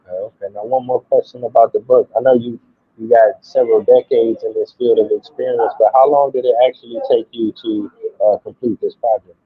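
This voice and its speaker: Husky-voiced host on a tinny phone line. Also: male voice, medium pitch, fairly even intonation, a little fast, no echo. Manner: polite, brief acknowledgements before questions. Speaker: podcast host. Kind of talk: interview questions.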